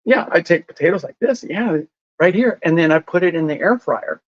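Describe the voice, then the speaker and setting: Man, somewhat high voice, dry dull lo-fi sound, explanatory, laughs often. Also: lively intonation, fairly quick, no echo. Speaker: lifestyle medicine advocate. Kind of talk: casual podcast conversation.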